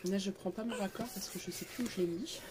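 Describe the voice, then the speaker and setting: A woman's voice: mid-range voice, fast, noisy background. A middle-aged woman with a cold, chatting through a home craft tutorial with lots of fillers. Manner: chatty, repeats "tout simplement" and "voilà", many fillers. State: congested with a cold. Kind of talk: casual craft tutorial narration. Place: living room at home.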